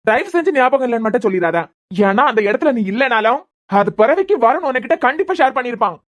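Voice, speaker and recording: A woman's voice: fairly high pitch, animated, fast talker, lightly acted. A woman voicing a bitter, hurt young husband, sarcastic and repeating words in anger. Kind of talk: amateur audio drama.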